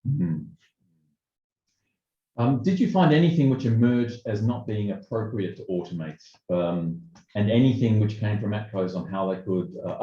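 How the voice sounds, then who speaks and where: A man with a lowish, mellow voice moderates a webinar interview. He phrases his questions tentatively and informally. The sound is very roomy and slightly dull, like an online call.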